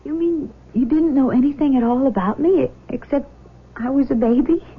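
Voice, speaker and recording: An actress playing a shaken but loving nineteen-year-old daughter in a 1970s radio drama, halting and exclaiming. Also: female voice, high-pitched. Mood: stunned disbelief. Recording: dull lo-fi old radio broadcast.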